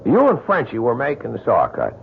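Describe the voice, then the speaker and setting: An actor playing an earnest, plain-spoken young lumberjack with a rural American accent in an old-time radio drama. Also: male voice, medium pitch, huge pitch swings, a little fast, creaky. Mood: suspicious, determined. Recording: tinny, hissy old radio recording.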